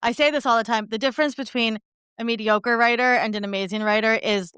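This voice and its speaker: Woman, podcast host, very smooth clear tone, effusive and appreciative, conversational, close dry sound. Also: a little high, fairly even intonation, fast, a bit bright, no echo. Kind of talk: conversational interview.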